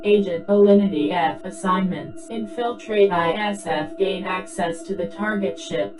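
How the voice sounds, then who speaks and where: A woman's voice: medium pitch, lightly acted, low-quality recording. A woman voicing an impersonal, official science-fiction debriefing system with formal, terse delivery. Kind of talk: scripted dramatic reading, clipped transmission prompts. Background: background music.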